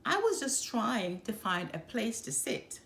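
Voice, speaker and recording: A woman reading a children's picture book aloud as a storyteller, expressively and with measured, emphatic phrasing. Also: fairly high pitch, sing-song, creaky, fairly bright tone, a little hiss or hum.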